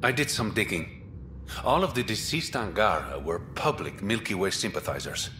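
Game smuggler, male: very low, bright voice, roguish and confident, faint ambient noise behind. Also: very animated, normal speed. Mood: serious, intent. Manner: smooth, sly, teasing, confident. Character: suave, roguish adult male smuggler and informant. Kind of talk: video game dialogue acting.

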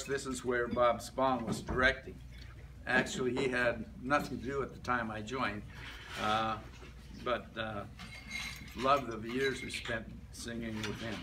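An older man reads a prepared reminiscence aloud to a group, halting between short phrases. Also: medium pitch. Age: older, about 70s to 80s. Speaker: club member giving a life-story speech. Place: medium-sized indoor meeting room. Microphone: camera a few metres away. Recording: distant room mic with background noise.

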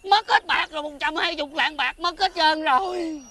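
A man's voice: very high voice, a little fast, pushed and bright. A man playing a frantic, hapless village husband in a theatrical folk comedy, wailing and pleading. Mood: distressed. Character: frantic, hapless village husband who lost his silver.

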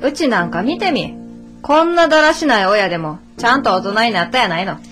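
An actress playing a stubborn, resolute young adult daughter in a radio drama, blunt and matter-of-fact, with a Kansai accent. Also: female voice, on the high side, animated, a little fast, over music, close to an everyday voice. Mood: insistent.

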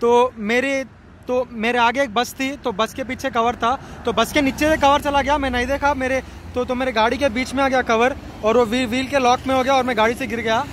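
A man's voice: very high voice, fairly even intonation, fairly quick, a bit bright. A young man from India with a Hyderabadi accent, casually vlogging with emphatic, repeated phrases. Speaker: motovlogger. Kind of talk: casual vlog narration. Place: outdoors on a highway roadside and riding a motorbike. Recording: outdoor roadside and road noise.

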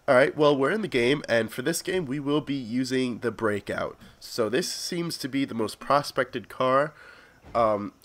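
A man's voice: medium pitch, a bit bright, slight background noise. A young adult man hosting a gaming video with casual gameplay commentary, sounding congested and sick with a cold.

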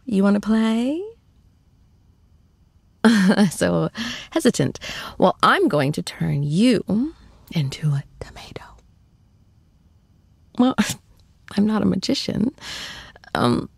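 A woman acts out an adoring, playful girlfriend in an intimate ASMR roleplay. She coos in a breathy voice that often falls into whispers, very creaky at the edges. Her pitch swoops affectionately, and the sound is close and dry. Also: darkish tone, no echo, full character voice. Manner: cooing, playful, slow and tender. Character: affectionate, teasing young girlfriend comforting her shy boyfriend.

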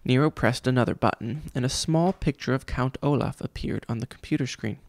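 A man reads a children's story aloud as a father would to his child. His voice has a creaky, gravelly grain. The sound is dry and close. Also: medium pitch, brisk, no room sound. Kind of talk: audiobook-style children's story reading.

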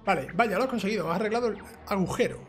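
High-pitched Spanish man doing game commentary. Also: racing. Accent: Spain. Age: young adult. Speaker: gaming YouTuber. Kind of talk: casual let's play commentary, reading game dialogue aloud. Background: video game music.

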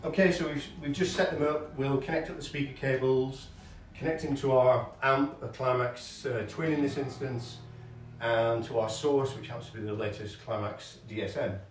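A man's voice: medium pitch, fairly even intonation, normal speed, hardly any pauses. A middle-aged man casually talking through an unboxing in a large showroom, chatty and hesitant with fillers, moving about as he lifts and unpacks. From England. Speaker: hi-fi shop owner. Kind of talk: casual product unboxing demo. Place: large carpeted listening showroom indoors. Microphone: camera mic from across the room. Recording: roomy showroom sound from far off with music.

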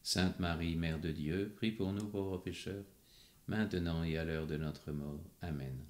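Deep-voiced man praying in an echoey room. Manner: even, measured prayerful recitation. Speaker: prayer responder. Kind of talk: reciting rosary responses.

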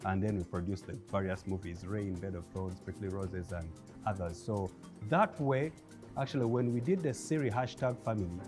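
A man in his thirties with a Ugandan English accent, a film producer talking as a guest in a studio interview, lively and acting out the voices he quotes. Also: low voice, normal speed, over music. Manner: animated storyteller, acts out quoted voices with laughs. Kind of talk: interview conversation. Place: bright indoor studio set with armchairs.

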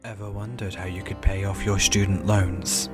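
A man's voice: low-pitched, flat delivery, normal speed, soft background music. A man delivering scripted promotional narration as a voice actor.

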